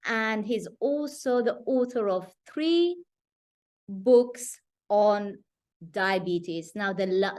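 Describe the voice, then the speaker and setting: A woman hosting a casual interview, speaking in a measured way and slowing down on key phrases. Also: on the high side, a little mellow. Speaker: host.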